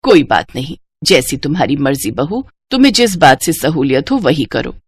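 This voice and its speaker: A woman voicing a gentle, accommodating older mother-in-law in a moral story, mild and yielding. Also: low-pitched, big pitch swings, moderate pace, breathy edge, no room sound, studio quality, pitched below the performer's own voice, exaggerated voice. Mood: gentle, resigned. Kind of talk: acted dialogue in a moral story.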